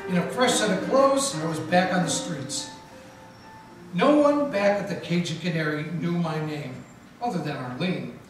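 Male detective narrator for a live radio play: high-ish, hard-boiled, roomy and distant, with music under him. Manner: wry, hard-boiled first-person storytelling. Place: historic mansion room with live audience and Christmas tree. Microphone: stand mic, filmed by a far camera. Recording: echoey room taped from far off.

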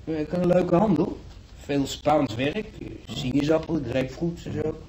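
A man playing a folksy, grumbling market trader in a radio play, speaking in a halting, plaintive, colloquial way. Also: fairly high pitch, dark tone, a little hiss or hum, close to an everyday voice, poor audio. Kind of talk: Dutch radio drama acting.

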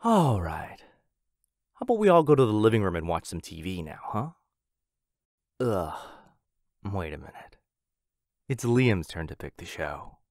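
A man's voice: low voice, sing-song, a little slow, long pauses, a little mellow, dry recording, clean studio sound, full character voice. A man playing a goth horseman in a comedy roleplay, casual and chiding, with an exasperated manner. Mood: resigned. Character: dry, scolding goth horseman in eyeliner. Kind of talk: boyfriend ASMR comedy roleplay.

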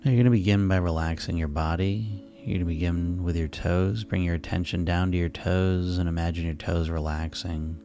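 Deep, creaky-voiced man guiding sleep hypnosis. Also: fairly even intonation, dark tone. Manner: soothing, unhurried, repetitive. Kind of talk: guided sleep hypnosis narration. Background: relaxing background music.

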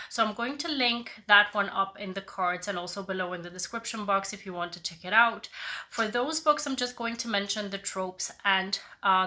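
Female book vlogger, thirties, bright forward tone with a stuffy head-cold sound, mid pitch, casual and chatty delivery. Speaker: book reviewer, channel host. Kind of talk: casual talk to camera reviewing books. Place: small living room, indoors.

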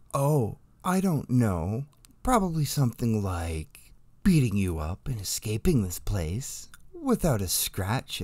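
A man's voice: on the low side, darkish tone, dry recording, full character voice. A man voicing a flippant, nervy captive with a sarcastic, glib way of talking.

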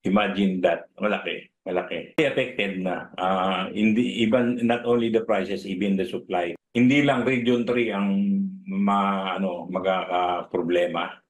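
A middle-aged man, a regional fisheries official, answering interview questions over a video call from his office, halting and switching between languages mid-sentence. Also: low voice, fairly even intonation, poor audio. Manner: halting, mixes in English, many fillers and restarts. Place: indoor office. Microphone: video call webcam.

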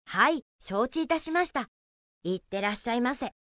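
A woman voicing an eager, obedient young temple novice in a folk tale radio drama, with polite, quick replies. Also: fairly high pitch, lively intonation, a bit bright, dry recording.

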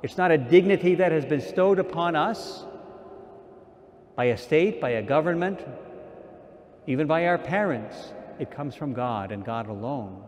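A man in his sixties, an archbishop, preaching a sermon at a pulpit mic in a large church, slow and measured with repeated phrases. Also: fairly high pitch, expressive, darkish tone. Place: large church sanctuary, indoors. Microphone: stand mic at pulpit. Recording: long church reverb.

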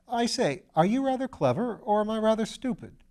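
Storybook role: man acting a muddled, tipsy young gent, exclamatory, pitched high. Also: very animated, fairly quick, darkish tone. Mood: befuddled, excited. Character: flustered, slow-witted young English gentleman, mellow with drink.

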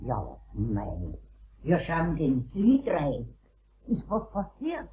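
A woman's voice: sits low, huge pitch swings, slow pace, slight background noise, heavily acted. A woman with a Bavarian accent playing a practical, neighbourly cleaning helper in a children's radio play. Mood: friendly, matter-of-fact. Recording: dull, muffled cassette sound.

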